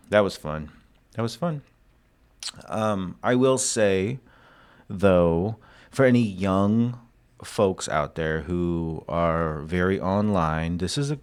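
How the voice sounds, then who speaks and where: Laid-back low-voiced man joking around. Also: animated, slow, a little mellow. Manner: joking, drawling, slangy asides. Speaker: commentary host. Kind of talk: casual political commentary.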